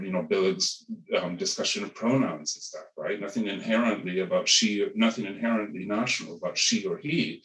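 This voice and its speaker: A man's voice: lowish voice, a bit bright. A man speaking as a panelist in an academic webinar, thinking aloud with many restarts and repeated words. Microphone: video call. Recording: roomy, distant video call audio.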